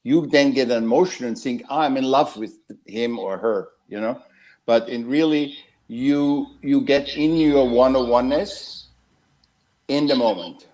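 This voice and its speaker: A man from Austria, a guest artist talking over a video call in a rambling, halting way, often restarting his sentences. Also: medium pitch, darkish tone, poor audio. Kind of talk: casual interview talk.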